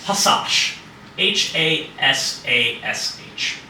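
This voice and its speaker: A man running a tabletop game as game master, animated and loose, with lots of fillers and swearing. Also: a little high, takes it slowly, a little hiss or hum. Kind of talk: casual tabletop game narration. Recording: echoey room, distant mic.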